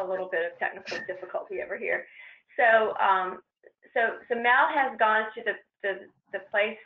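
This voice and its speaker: A woman presenting on a training call, walking informally through a procedure step by step in a halting, hesitant way. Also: medium pitch. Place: conference call with shared screen. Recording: roomy, muffled conference-call line.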